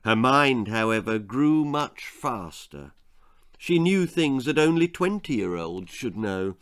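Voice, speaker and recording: Unhurried, expressive male storyteller. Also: medium pitch. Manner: dramatic, expressive. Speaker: author reading own story. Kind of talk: scripted children's horror-comedy narration. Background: faint music.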